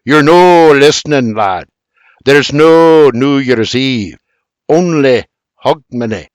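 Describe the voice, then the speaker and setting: A man's voice: mid-range voice, big pitch swings, slow pace, no echo, exaggerated voice. A man doing a blustering old Scotsman, B B. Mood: insistent. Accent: Scottish, as played. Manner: blustering, lecturing, full of Scots dialect. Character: cheerful gruff old Scottish man, stubborn and bossy.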